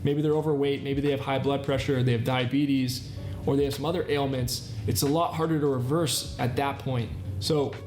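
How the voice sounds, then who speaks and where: A man's voice: medium pitch, steady tone, normal speed, darkish tone. A man in his thirties hosting a self-improvement talk, explaining in an earnest way with repeated list-like phrasing. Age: 30s. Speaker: channel host. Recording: echoey room with faint music. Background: faint background music.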